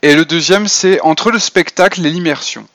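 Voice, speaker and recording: A man's voice: on the high side, moderate pace, fairly bright tone, dry recording, studio quality. A man giving a semi-prepared podcast talk on role-playing, hesitant and full of fillers and restarts. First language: French.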